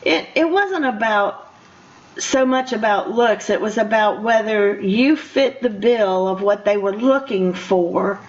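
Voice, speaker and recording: A woman's voice: medium pitch, slow. An older woman hosting a conversational interview, encouraging and often repeating herself as she goes. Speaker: radio show host. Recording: muffled radio interview sound.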